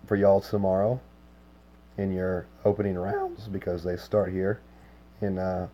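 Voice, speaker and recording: A man with a hoarse, worn voice chatting casually with his livestream viewers, easygoing and full of filler words. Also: sits low, big pitch swings, a little slow, dull audio, a little hiss or hum. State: hoarse, lost voice. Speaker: game streamer.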